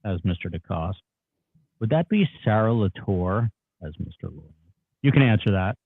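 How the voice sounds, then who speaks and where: A man's voice: fairly low pitch, expressive, normal speed, creaky, husky, no room sound, exaggerated voice. A man reading the part of a terse attorney instructing a witness during a deposition. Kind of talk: dramatic reading of legal objections. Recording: dull, phone-like narrow band.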